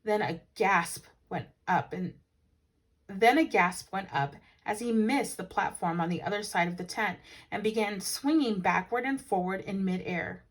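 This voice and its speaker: A woman reading a children's story aloud as a teacher would, animated and with dramatic emphasis for young listeners. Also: mid-range voice, measured pace. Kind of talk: expressive storybook read-aloud.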